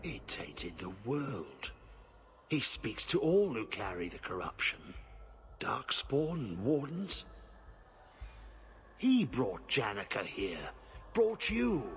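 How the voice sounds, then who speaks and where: A man playing a grave, haunted veteran warrior in a fantasy video game, speaking in solemn, halting, terse pronouncements. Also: mid-range voice, big pitch swings, soft background music. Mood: haunted dread.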